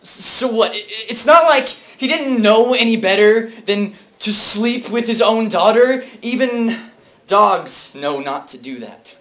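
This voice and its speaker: A man performing a dramatic monologue as a haunted, bitter, grieving brother, speaking in a halting, intense way. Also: mid-range voice, animated, fairly bright tone. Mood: furious disgust. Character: grief-stricken brother, haunted and bitter over family abuse. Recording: echoey, noisy room from far off.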